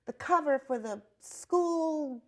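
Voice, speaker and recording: An older woman from New York, a guest artist telling stories of her life in a relaxed, chatty interview. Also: high-pitched, slow pace. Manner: chatty storyteller, animated quoting. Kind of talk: conversational interview.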